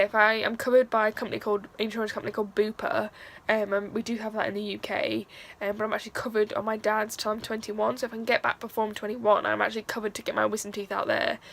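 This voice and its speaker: A woman's voice: medium pitch, gravelly, fairly bright tone, slight background noise. A young woman from England with a Mancunian accent, chatting casually to camera in a rambling vlog with lots of fillers, stuffy-nosed after crying. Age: young adult. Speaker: vlogger.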